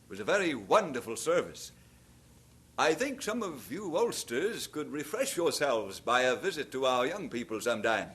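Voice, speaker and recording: An actor playing an earnest young Scottish preacher who is shy about love, in a radio drama. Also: male voice, medium pitch, very animated, moderate pace, a bit bright, breathy edge. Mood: preaching. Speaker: pastor.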